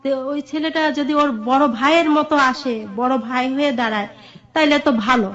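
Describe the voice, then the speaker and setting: Middle-aged woman, Bangladeshi accent, high voice. Earnest personal storyteller in interview, lo-fi sound. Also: steady tone, moderate pace, fairly bright tone. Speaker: guest telling her life story. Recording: dull, muffled lo-fi audio.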